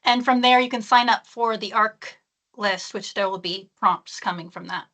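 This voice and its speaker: A woman's voice: medium pitch, normal speed, pushed and bright. A woman author, reading her own fiction aloud or chatting about it as a guest.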